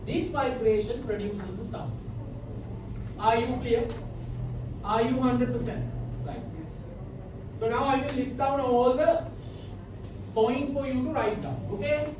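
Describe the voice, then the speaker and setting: A woman's voice: on the high side, steady tone, slow pace, pauses often. A woman teaching a class as a lecturer, explaining step by step with repetition, recorded from the back of a large classroom. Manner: repetitive step-by-step explaining, often checking understanding. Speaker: physics teacher. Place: large tiled indoor classroom full of students. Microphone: far camera at back of room. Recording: distant, very echoey classroom with noisy room ambience.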